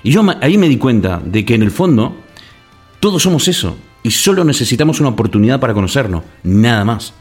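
A man from Argentina talks on a radio show, spinning stories with lively restarts and fillers. His voice sits in an average range, and faint music plays underneath. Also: mid-range voice, fast, husky. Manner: animated storyteller with many restarts and fillers. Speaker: radio guest. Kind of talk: casual radio conversation.

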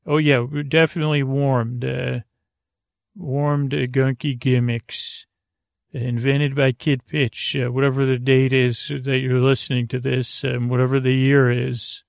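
Creaky-voiced man rambling through a bedtime podcast. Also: medium pitch, little pitch movement, dry recording, studio quality. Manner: meandering, halting, trailing off and repeating words; gentle, soothing address to the listener. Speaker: podcast host.

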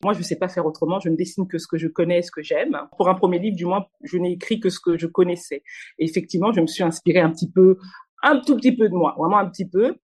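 A woman in her forties, an illustrator answering interview questions, talking quickly with frequent fillers and restarts. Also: fairly low pitch, darkish tone, slightly rough. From Congo (Kinshasa), raised in Normandy, France. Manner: fast, many hesitations and restarts. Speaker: illustrator and animation studio founder, guest.

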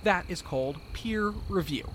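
A man's voice: high voice, lively intonation, unhurried, heavy background noise. A man playing a nerdy, earnest field researcher rambling into an audio log in a drama, with insects behind him. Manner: rambling, hesitant, exclaiming.

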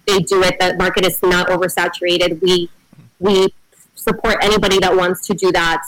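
A woman's voice: medium pitch, fairly bright tone, dry recording, poor audio. Chatty woman on a dry call. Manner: chatty, hesitant with many ums. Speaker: podcast co-host, guest. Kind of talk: casual remote interview chat. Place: Miami area, remote call.

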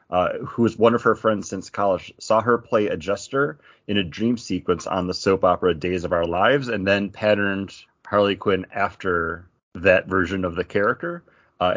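A man's voice: low voice, dull audio. A man co-hosting a podcast in casual, joking chat. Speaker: comics podcast co-host.

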